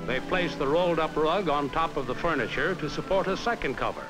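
An American man reading a scripted 1950s training film narration in a measured, instructive, carefully enunciated way, on a vintage film soundtrack. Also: on the high side, lively intonation, poor audio. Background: background music.

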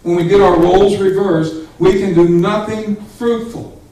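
Male pastor, fervent and emphatic, very high voice, slow delivery, recorded in a highly reverberant church. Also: fairly even intonation. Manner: fervent, emphatic, rising to shouts, addressing congregation directly. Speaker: pastor preaching. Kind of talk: Pentecostal sermon. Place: church sanctuary with small congregation. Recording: echoey church hall, far from camera.

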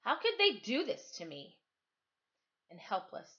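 A woman's voice: medium pitch, wildly swooping pitch, measured pace, a bit bright. A middle-aged woman, a teacher reading aloud and talking to her pupils from her living room. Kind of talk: storytime reading of a novel, then informal address to pupils. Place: living room at home, on a couch. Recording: dull, lo-fi webcam sound.

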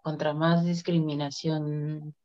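A woman's voice: sits low, little pitch movement, takes it slowly. A middle-aged woman from Latin America chatting informally as an interview guest. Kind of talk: casual conversational interview. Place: indoor room, on a video call. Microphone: video call device microphone. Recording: dull, lo-fi video call audio.

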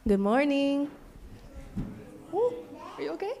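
Woman telling kids a church story, slow pace, lively swooping pitch, checks in often. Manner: warm, coaxing, checks in with the children. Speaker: children's story teller. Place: small church sanctuary.

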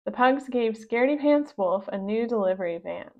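A woman reading a children's storybook aloud in an expressive narrating style. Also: on the high side, moderate pace, highs cut off. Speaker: storybook reader.

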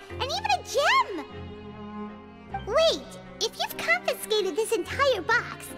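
Squeaky, very high childlike fairy girl. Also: heavily acted. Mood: excited, greedy. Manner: refers to self in third person, exclamatory. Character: tiny floating fairy girl companion, childlike, excitable, greedy, easily spooked. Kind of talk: video game voice acting. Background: music.

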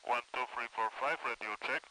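A man's voice: medium pitch, a little fast. A man making clipped, repetitive test calls, heard through a radio receiver. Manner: clipped, repetitive counting and call-out. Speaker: radio hobbyist testing equipment. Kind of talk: radio check test call. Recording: noisy radio reception with clicks.

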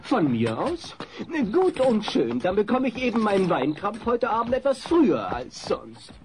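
An actor playing a smooth-talking, melodramatic party caterer in a sitcom audio drama, glib and theatrical. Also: male voice, sits high, wildly swooping pitch, moderate pace, background noise. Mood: resigned, melodramatic.

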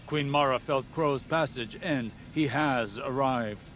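An actor playing a grave, solemn male leader speaking as a hologram in a video game, with slow, measured delivery. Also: medium pitch, fairly bright tone, slight background noise.

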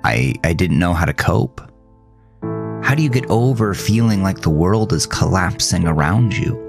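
A man narrating a scripted first-person horror story in a measured, dramatic way with pauses. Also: low-pitched, expressive, gravelly, over music. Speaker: host and author reading own horror story.

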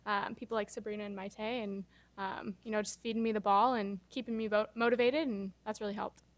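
A woman's voice: mid-range voice, fairly even intonation, a little fast. A young woman basketball player answering postgame questions at a press table, casual and hesitant with many fillers. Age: young adult. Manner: casual, hesitant with many ums and you knows. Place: press conference room. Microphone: table microphone.

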